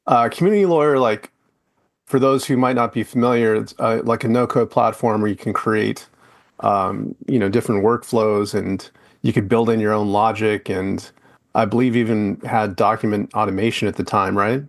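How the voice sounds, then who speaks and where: A man's voice: fairly low pitch, fairly even intonation, normal speed. A man hosting a podcast interview, talking loosely with lots of fillers and restarts. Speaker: podcast host. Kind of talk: casual interview chat.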